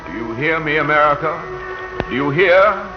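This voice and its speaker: A man's voice: a little high, lively intonation, moderate pace, lightly acted. Man as grand, declamatory voice of old radio. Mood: solemn, stirring. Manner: declamatory, solemn. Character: grand voice of radio addressing the American public. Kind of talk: 1940s radio narration. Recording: dull 1940 radio recording. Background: music.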